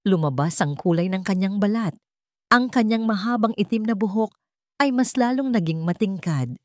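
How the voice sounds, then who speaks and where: A woman narrating a romance story in a dramatic, expressive reading style. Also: medium pitch, moderate pace, dry recording, clean studio sound.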